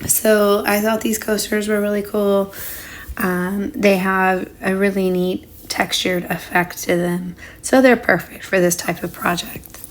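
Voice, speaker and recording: A woman's voice: medium pitch, little pitch movement, a little slow. A woman narrating a casual craft tutorial in a chatty way, her voice a little under the weather. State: under the weather, recovering lost voice. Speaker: craft channel host.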